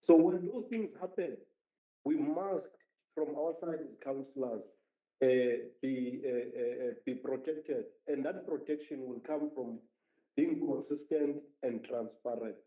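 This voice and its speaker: A man's voice: mid-range voice, measured pace. A man speaking as a municipal councillor in a council debate, emphatic and repeating his points. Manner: emphatic, repetitive speechmaking. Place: council chamber. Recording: roomy, dull council chamber audio.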